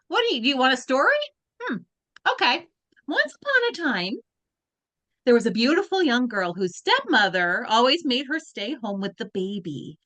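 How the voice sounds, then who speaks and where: A woman reads the lead girl's part over a video call, her voice pitched a little high and swinging widely. She moves between sulky protest and grand recital. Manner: lively, swings between whiny protest and grand recital, breaks off to laugh at slips. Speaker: voice actor reading the lead teenage girl role. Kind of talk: live read-through over video call. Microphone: video call microphone.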